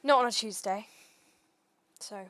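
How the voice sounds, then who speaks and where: A woman streamer with a dry, understated delivery, reading aloud and chatting casually in a deadpan way. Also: on the high side, a little slow, crowd noise.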